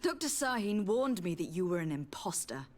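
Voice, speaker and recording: A woman's voice: lowish voice, big pitch swings, moderate pace, darkish tone. An actress playing a principled noblewoman envoy in a fantasy video game, questioning and reproaching with formal composure. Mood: reproachful, questioning.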